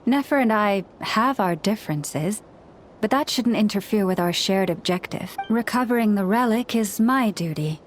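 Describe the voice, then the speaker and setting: A woman voicing a serene, dutiful young guardian in a video game, speaking in a measured, formal and gently earnest way. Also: mid-range voice, expressive, a little hiss or hum, close to an everyday voice. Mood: calm, earnest.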